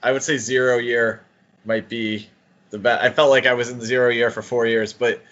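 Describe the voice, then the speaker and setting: A man's voice: mid-range voice, flat delivery, normal speed, a bit bright, lo-fi sound. A man co-hosting a podcast in casual chat, with an easygoing manner and joking asides. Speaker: podcast co-host.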